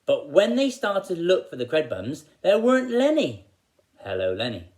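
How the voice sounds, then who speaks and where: A man reading aloud as a storyteller for children, in an expressive style. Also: a little high, moderate pace.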